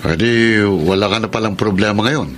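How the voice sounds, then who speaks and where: An actor with a Filipino accent playing a friendly, teasing office colleague in a radio drama. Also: male voice, lowish voice, moderate pace, fairly bright tone, a little hiss or hum, lightly acted. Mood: curious, teasing.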